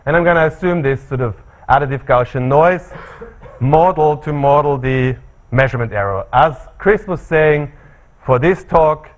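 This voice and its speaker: A middle-aged man with an Austrian accent giving an academic seminar talk in a seminar room, measured and often pausing on fillers. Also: fairly high pitch. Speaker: lecturer.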